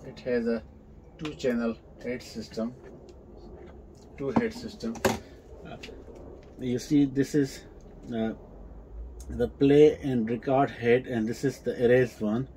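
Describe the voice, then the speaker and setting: A man with a non-native English accent giving a casual, unscripted demonstration in a halting, hesitant way with repeated words. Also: mid-range voice, fairly even intonation, background noise. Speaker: presenter of vintage audio equipment videos.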